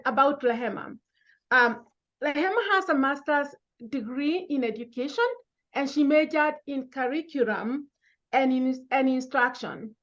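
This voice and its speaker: A woman's voice: high voice, lo-fi sound. A woman moderator and educator introducing a guest formally, with frequent ums.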